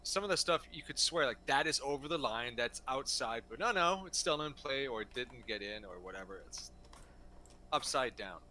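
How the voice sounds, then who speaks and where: A man's voice: mid-range voice, animated, normal speed, pushed and bright, slight background noise. A young man with a first language other than English, chatting casually while streaming a game. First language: not English. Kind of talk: casual gaming chat.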